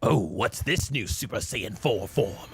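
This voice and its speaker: A man's voice: lowish voice, lively intonation, measured pace, a little hiss or hum, pitched below the performer's own voice. A man voicing a vengeful, mocking alien parasite villain in a theatrical, cackling video game style. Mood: gloating, mocking. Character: gloating vengeful alien parasite villain, sneering at monkey foes. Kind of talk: live improvised video game villain dubbing. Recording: loud game music behind.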